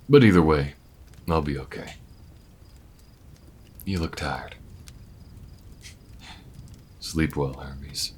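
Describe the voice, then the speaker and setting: A man's voice: a bass voice, a little hiss or hum. A man voicing a laid-back, protective alien gunslinger in an intimate audio roleplay, with folksy, hesitant, reflective speech. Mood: gentle, reassuring. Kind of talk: slow-burn audio roleplay, intimate monologue to listener.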